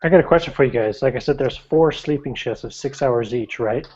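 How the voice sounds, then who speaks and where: A man acting as game master, explaining rules in a relaxed, casual way. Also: mid-range voice, fairly quick, highs cut off. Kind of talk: running a tabletop game.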